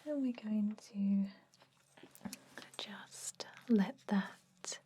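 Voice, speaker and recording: Woman doing a gentle vampire dentist for asmr, super slow breathy whisper, soft and mellow, kinda chatty. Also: medium pitch. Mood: soothing, clinical. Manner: slow, soothing, hesitant, confiding. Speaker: ASMR roleplayer.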